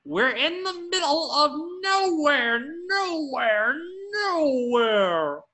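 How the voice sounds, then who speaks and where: A sour, exasperated adult squid from a cartoon, played by a man, with a bright, forceful edge. He drags out his words sarcastically and swings widely in pitch when irritated. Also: sing-song, drawn-out words, exaggerated voice. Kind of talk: cartoon script table reading.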